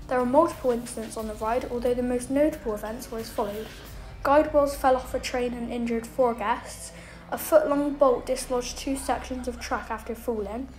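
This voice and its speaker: A woman's voice: a little high, darkish tone. A woman reading a scripted documentary narration as the narrator of a video. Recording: roomy echo, faint background noise.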